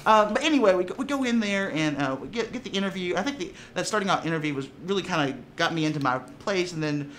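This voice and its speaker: A man with a bright, forceful voice pitched a little high chats in a casual interview. He tells stories with lots of laughter and quick restarts. A faint hiss of noise sits behind him. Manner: animated, laughing storyteller with many restarts. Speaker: tabletop player and voice actor.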